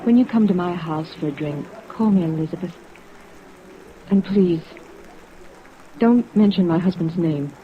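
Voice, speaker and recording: An actress playing a guarded, distressed widow, polite and hesitant, in a 1950s radio drama style. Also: female voice, on the low side, a little slow, long pauses, close to an everyday voice. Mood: quietly inviting. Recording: dull vintage radio recording, background murmur.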